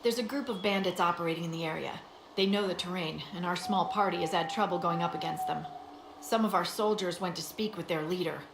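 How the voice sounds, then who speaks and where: A woman's voice: lowish voice, a little fast, gravelly, noisy background. A woman playing a dutiful, earnest scout in a video game, respectful and matter-of-fact. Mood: earnest, reporting. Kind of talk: video game dialogue acting. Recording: echoey game capture, faint ambience.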